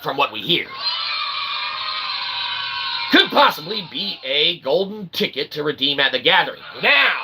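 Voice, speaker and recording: A man hosting his own channel, rambling through an opinion monologue with lots of "okay?" and sudden loud outbursts. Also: a little high, noisy background. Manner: rambling, repeats "okay?" and fillers, bursts of loud excitement. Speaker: channel host.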